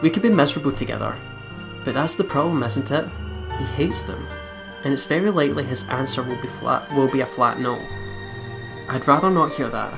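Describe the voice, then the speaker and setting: A man's voice: medium pitch, fairly quick, gravelly. Man reading out a visual novel. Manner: giggly, chatty asides between read passages. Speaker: let's play host. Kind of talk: reading visual novel text aloud mixed with casual chat. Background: game background music.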